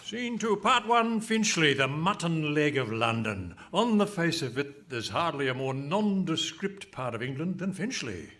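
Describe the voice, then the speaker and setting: A pompous male radio announcer, acted by a man for a stage comedy. His voice sits fairly high and swings widely in pitch, with fussy, theatrical formality. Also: big pitch swings, a little slow, few pauses, fairly bright tone. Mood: mock-solemn. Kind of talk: scripted radio comedy announcing before live audience. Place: hall stage, live performance.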